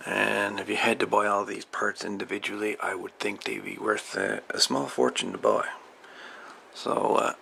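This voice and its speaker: A man with a very gravelly, fry-heavy voice talks casually as a hobbyist showing things off. His delivery is folksy and halting, wandering as he goes. It is a home recording with faint noise behind him. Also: lowish voice, sharp tone. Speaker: radio hobbyist presenting salvaged parts. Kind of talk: casual hands-on show-and-tell.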